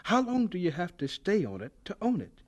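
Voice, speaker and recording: A reserved young farmhand in a radio play. He is deferential and halting, with a somewhat high voice that moves up and down a lot. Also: wildly swooping pitch, highs cut off. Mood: hesitant, wondering. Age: young adult. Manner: deferential, hesitant, subdued. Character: polite, reserved, hesitant young man of twenty, hired hand. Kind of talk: radio drama acting.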